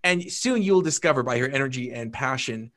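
A man hosting a podcast, introducing his guest with a hyped, high-energy delivery. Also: expressive, fairly quick, a bit bright. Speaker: podcast host.

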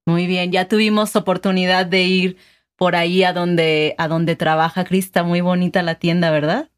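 Una co-presentadora mexicana de podcast, con acento mexicano, que guía la conversación de manera amable y cercana. Habla con tono natural, de charla entre amigos. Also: female voice, fairly low pitch, level tone, moderate pace. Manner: friendly, guiding the interview with questions. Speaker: podcast co-host. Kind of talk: casual chat.

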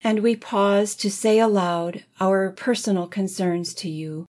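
A woman leading a congregation in prayer with gentle, deliberate phrasing. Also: medium pitch. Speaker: music director leading prayer. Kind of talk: led liturgical prayer.

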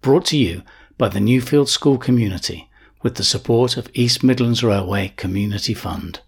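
A man reads a scripted introduction in an announcer's role. His voice is mid-pitched and somewhat husky. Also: normal speed.

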